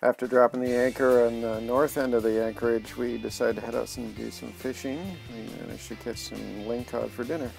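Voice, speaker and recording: A man's voice: medium pitch, fairly even intonation, fairly quick, creaky, hardly any pauses, over music. A man narrating a sailing trip in a plain, matter-of-fact voice-over.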